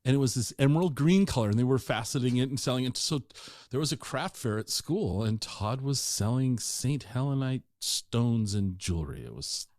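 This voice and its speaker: A man's voice: mid-range voice, animated, moderate pace, hardly any pauses, a little mellow, dry recording, studio quality. A man in his sixties hosting a podcast interview, chatting casually and asking loose, meandering questions. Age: 60s. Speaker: podcast host.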